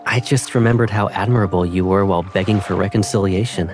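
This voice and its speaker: A man voicing an affectionate, protective young man who teases gently, in a fan dub of a romance game. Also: low voice, fairly even intonation, fast talker, soft background music, close to an everyday voice. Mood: playful, reminiscing.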